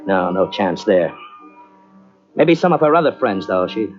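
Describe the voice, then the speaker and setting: A man's voice: sits low, sing-song, measured pace. An actor playing a young male engineer, newly married, narrating a murder mystery in 1940s radio style. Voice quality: urgent first-person narration swinging to shouted calls. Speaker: lead actor narrating his part. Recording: dull lo-fi old radio tape with music.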